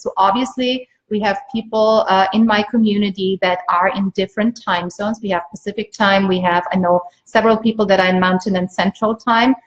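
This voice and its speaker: Emphatic woman coach on lo-fi livestream. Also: mid-range voice. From Austria. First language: German. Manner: emphatic, repeats phrases for stress. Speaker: business coach and livestream host. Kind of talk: motivational live sales pitch to viewers. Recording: dull lo-fi livestream audio.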